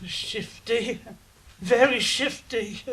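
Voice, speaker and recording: A man's voice: very high voice, a bit bright, heavily acted. A male actor playing a dim, greedy, hesitant king with a bumbling manner in a comic audio drama. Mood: wary, bumbling. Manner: bumbling, slow.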